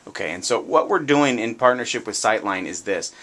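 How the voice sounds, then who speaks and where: A man's voice: lowish voice, expressive, a little fast, fairly bright tone. An American man hosting a radio show, talking off the cuff in a plain-spoken, opinionated, folksy way about his trade like a sales agent. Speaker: radio show host, real estate agent. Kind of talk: unscripted radio talk, anecdotes and sales pitch. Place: indoors, radio show setting. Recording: noisy, distant-sounding radio show capture.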